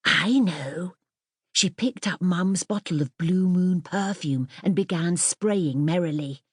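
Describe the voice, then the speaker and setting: A woman reading a children's story as an audiobook narrator, in an expressive, scripted storytelling style. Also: on the low side, measured pace, breathy edge, dry recording, studio quality.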